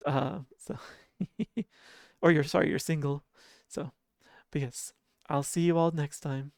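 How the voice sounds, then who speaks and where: Sleepy-voiced, smooth-toned game streamer. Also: mid-range voice, slow pace, no room sound, clean studio sound. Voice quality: sleepy-sounding voice. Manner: soft, relaxed, chuckles often and hums idle filler tunes. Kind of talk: casual livestream chat.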